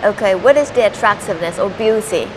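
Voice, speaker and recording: A woman's voice: medium pitch, fast, over music. A woman with a Thai accent hosting a talk show in English, prompting her guest with questions and "okay". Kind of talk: interviewing a guest. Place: exhibition hall. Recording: noisy hiss in background.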